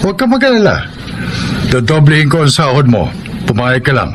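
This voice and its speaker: Wealthy homeowner, genial, Filipino accent, lively pitch swings, quiet authority, radio drama, noisy ambience. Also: male voice, a little fast. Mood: persuasive, confiding. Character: wealthy, friendly male homeowner hiring a guard.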